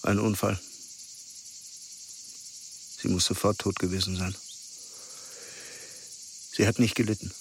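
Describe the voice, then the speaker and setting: Grieving middle-aged father, lowish pitch, restrained, halting, naturalistic radio play, slight background noise with insects. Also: flat delivery. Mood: somber.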